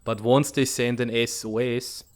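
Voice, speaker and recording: A man's voice: medium pitch, little pitch movement, a little slow, lightly acted. A man playing a pragmatic, impatient military strategist with a blunt, matter-of-fact manner in a comic fandub. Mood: decisive. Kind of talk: dramatic comic fandub acting.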